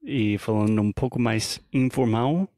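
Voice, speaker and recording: Guy co-hosting a portuguese podcast, slow and steady, keeps asking questions, dry mic. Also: medium pitch, no echo. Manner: asks questions and checks understanding. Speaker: podcast co-host. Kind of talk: casual language-teaching chat.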